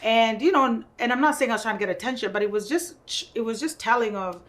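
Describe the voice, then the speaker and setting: A woman's voice: a little high, fast talker, slight background noise. A woman in her thirties from Kenya, with a Kenyan accent mixed with English, hosting a casual podcast chat and telling stories full of "like" and "you know". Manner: animated storytelling, frequent "like" and "you know". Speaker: podcast host.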